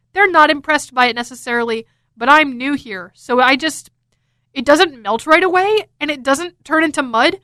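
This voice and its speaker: Bright, high-voiced young American woman DJ. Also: no room sound. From Florida, United States. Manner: enthusiastic, chatty. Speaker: radio DJ. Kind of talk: upbeat casual radio DJ talk. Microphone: close studio microphone.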